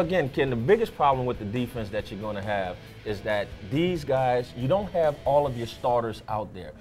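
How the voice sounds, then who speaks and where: A man's voice: mid-range voice, darkish tone. A man giving conversational football analysis as a fill-in co-host, restating his points for emphasis. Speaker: sports show guest co-host.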